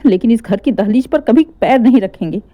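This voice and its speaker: A woman's voice: a little high, animated, fairly quick, dull audio, close to an everyday voice. A woman voicing a young married daughter who insistently backs her sister. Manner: agreeing, insistent.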